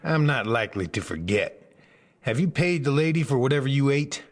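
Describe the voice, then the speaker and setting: An actor playing a calm, level-headed Texan gunslinger, dry and understated, in a dramatized Western audiobook. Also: male voice, mid-range voice, normal speed, no room sound, natural voice. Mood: steely calm.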